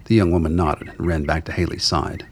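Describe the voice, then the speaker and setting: A man with a gravelly, husky voice narrating a scripted radio drama. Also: low voice, little pitch movement, a little fast, a little hiss or hum.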